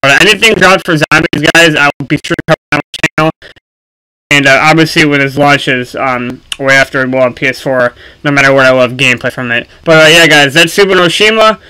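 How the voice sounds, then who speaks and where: A man giving casual, unscripted gaming commentary for a video, speaking haltingly with frequent ums and pauses. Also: a little high, bright, forceful tone, clear, resonant tone, rough recording.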